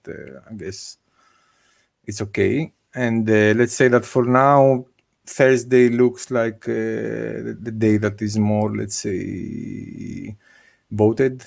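Hesitant man on a video call, slow and gravelly. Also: on the low side. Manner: hesitant, many filler uhs. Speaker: meetup organizer. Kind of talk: casual video call discussion. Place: home room with bookshelves. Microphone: desk microphone on video call.

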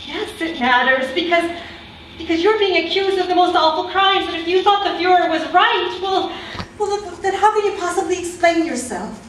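A middle-aged woman playing the distraught wife of an accused war criminal in a staged reading, agitated, pleading and halting. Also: very high-pitched, a bit bright. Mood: pleading, incredulous. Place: indoor room, table before a plain wall. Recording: echoey room, distant microphone, faint noise.

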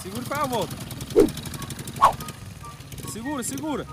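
High-pitched young Northeastern Brazilian man, excitable. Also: normal speed. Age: young adult. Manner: slangy, excitable, repeats short commands. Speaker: motovlogger. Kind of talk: casual vlog chat and riding instructions. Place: outdoors on an empty street by a motorbike. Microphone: phone at arm's length. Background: music, motorbike engine.

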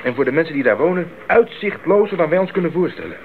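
A man's voice: fairly high pitch, animated, fast. An actor playing an idealistic, eager young male missionary in a 1980s radio drama, speaking earnestly and articulately. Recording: narrow-band 1980s radio recording, faint noise.

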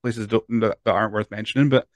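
A man's voice: fairly low pitch, steady tone, fast, no room sound. A man in his thirties with a Scottish accent, hosting a podcast and asking long, rambling questions with restarts, on a close studio mic in a home studio. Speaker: podcast host. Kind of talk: casual interview chat. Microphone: headphones and a large studio mic close up.

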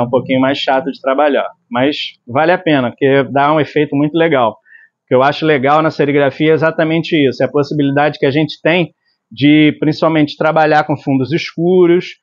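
A man's voice: fairly high pitch, steady tone, a little fast. A man in his forties from Brazil teaching screen printing in his workshop. He explains step by step while mixing inks and keeps checking in with the viewer. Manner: explanatory, tag questions like "tá?". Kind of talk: hands-on tutorial. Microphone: lapel mic. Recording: slightly dull lo-fi sound.

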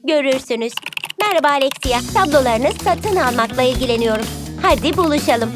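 High-voiced, confident young cartoon dog. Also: female voice, expressive, fairly quick, music in the background. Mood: determined. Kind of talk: animated children's series dub.

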